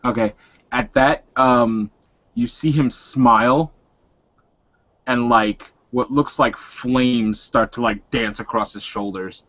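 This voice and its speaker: A man casually improvising third-person narration as a tabletop game player, halting and full of filler words. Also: mid-range voice, fairly even intonation, fairly bright tone. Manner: halting, peppered with "like". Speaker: role-playing game player. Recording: slightly muffled lo-fi audio.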